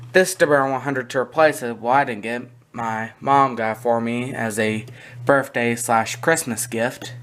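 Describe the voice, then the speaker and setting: A hobbyist collector talking through items on camera in a casual, halting way, often trailing off between points. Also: medium pitch, a bit bright, a little hiss or hum. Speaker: collector presenting a hobby video. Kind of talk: casual product walkthrough. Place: indoors in a small room at home. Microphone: handheld camera or phone near the speaker.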